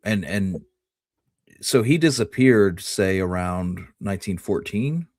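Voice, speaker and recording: A man's voice: low voice, expressive, slow, darkish tone, dry recording. A man co-hosting a history podcast, talking casually and thinking things through aloud. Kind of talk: casual conversational discussion.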